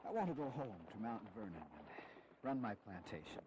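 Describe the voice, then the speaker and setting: A man's voice: lowish voice, sing-song, fairly quick, a little mellow, a little hiss or hum, low-quality recording. An actor playing a weary, honourable general in his fifties, grave and dignified, in a historical audio drama. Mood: weary, wistful. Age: 50s.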